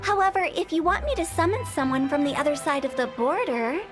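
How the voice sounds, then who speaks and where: Very high-voiced mischievous young funeral director, teasing, morbid jokes, faint game music. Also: female voice, expressive, brisk. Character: playful, macabre young woman funeral director. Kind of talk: game cutscene voice acting.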